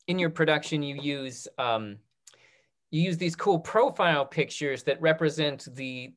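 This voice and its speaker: A man in his thirties moderating a talk-back on a video call in a casual, halting way, often repeating his words. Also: fairly high pitch, a bit bright. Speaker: host of a theatre talk-back.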